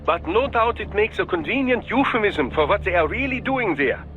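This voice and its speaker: An actor playing a polite, scholarly doctor of cyborgs in a video game, formal, deferential and a little halting. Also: male voice, sits high, lively intonation, fairly bright tone, dull audio. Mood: musing, precise. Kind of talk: video game cutscene acting.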